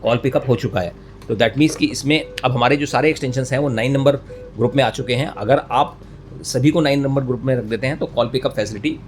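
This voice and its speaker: A man's voice: mid-range voice, a little fast. Indian man explaining, with noisy background. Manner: mixes English technical terms into speech, repeats and rephrases. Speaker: YouTube tutorial presenter. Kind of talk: step-by-step technical tutorial, explanatory. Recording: clear background noise, room ambience.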